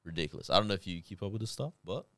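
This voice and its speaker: A man's voice: sits low, rapid-fire, creaky, dry recording. A man from Iraq chatting casually as a podcast co-host, telling anecdotes in slangy language and acting out the dialogue. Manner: animated, slangy storytelling with acted-out dialogue. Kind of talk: casual banter and anecdotes with friends.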